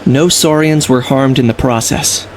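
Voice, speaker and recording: A man playing a level-headed, dryly wry young man in a video game, speaking in a measured, matter-of-fact way. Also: mid-range voice, fairly even intonation, slight background noise, natural voice, rough recording. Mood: explaining, calm. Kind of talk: English video game voice acting. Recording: game music behind. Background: game music.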